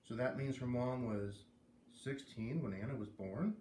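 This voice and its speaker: A man's voice: medium pitch, measured pace, dark tone, a little hiss or hum, lightly acted. Man reading as an earnest young schoolgirl, echoey room. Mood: puzzled. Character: earnest, curious, kind young schoolgirl newcomer. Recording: roomy echo, distant microphone.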